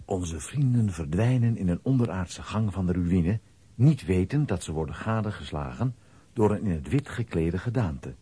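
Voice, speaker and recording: Low, very husky male storyteller. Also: animated, moderate pace, dark tone. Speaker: narrator. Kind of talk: scripted storytelling narration for children's audio play.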